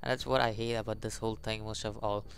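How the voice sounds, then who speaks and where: Creaky-voiced man narrating a game. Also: fairly low pitch, little pitch movement, quick pace, slight background noise. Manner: stumbling while reading, reactive asides. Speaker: gaming channel host. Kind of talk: game commentary and reading aloud. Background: faint game music.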